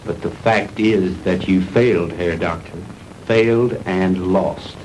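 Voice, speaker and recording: Low-voiced, defiant film hero. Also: male voice, slow, a little mellow, rough recording. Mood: accusing, defiant. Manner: blunt, accusing. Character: defiant captive male hero confronting a villain. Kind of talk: 1960s B-movie acting. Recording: hissy old 1960s film soundtrack.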